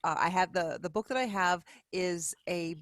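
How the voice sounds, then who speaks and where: A woman's voice: lowish voice, normal speed, no echo, studio quality. A middle-aged woman, a guest author, explaining things in an upbeat way during an interview. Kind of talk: interview talk.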